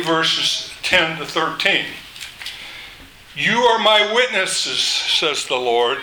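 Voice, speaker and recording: An older man delivers a sermon in a bright, forward voice, slow and deliberate. He lifts his pitch and volume when he quotes scripture. A faint hiss of noise sits under the recording. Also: rough recording. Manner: loud voice. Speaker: preacher.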